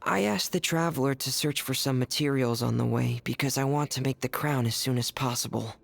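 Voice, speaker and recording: A man voicing a shy, earnest teenage boy in a video game, with a creaky, breathy, hesitant delivery. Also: medium pitch, brisk, no echo.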